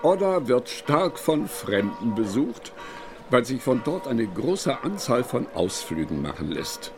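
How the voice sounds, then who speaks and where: An actor playing a formal chronicler, narrating an imperial voyage from a script in a radio play. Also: male voice, mid-range voice, very animated, a little slow, music in the background. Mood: matter-of-fact.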